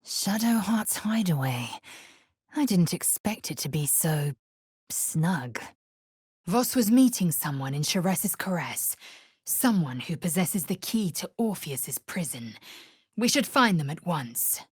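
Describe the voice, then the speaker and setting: A woman's voice: on the low side, sing-song, slow pace, breathy edge, dry recording, studio quality, full character voice. An actress playing a fierce, proud young alien warrior woman in a fantasy video game, speaking in an imperious, clipped, scornful way with formal phrasing. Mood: brisk, commanding.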